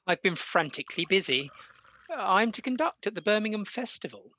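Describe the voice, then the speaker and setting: A woman's voice: mid-range voice, lively intonation, brisk, pushed and bright. Woman as a polite young victorian english gent composer, bit hesitant, very up and down intonation, radio play. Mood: courteous. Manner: courteous, hesitant.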